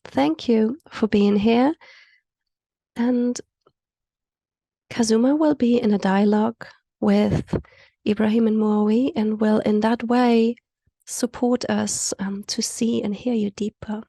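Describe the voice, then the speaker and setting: Slow gravelly woman. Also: a little high, steady tone, no room sound. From South Africa. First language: Afrikaans. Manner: slow and halting, often dropping into a soft whisper. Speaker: host and facilitator. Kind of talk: introducing guests on an online community call.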